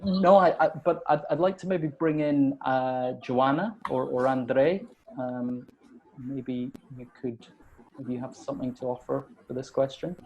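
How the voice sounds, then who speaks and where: A man moderating an online panel, his pitch sitting right in the middle of the typical male range. He talks haltingly, often stammering over his words as he hands the floor around. The sound comes through a video call. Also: fairly even intonation, darkish tone, lo-fi sound, slight background noise. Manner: hesitant, repeats words often. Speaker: webinar moderator.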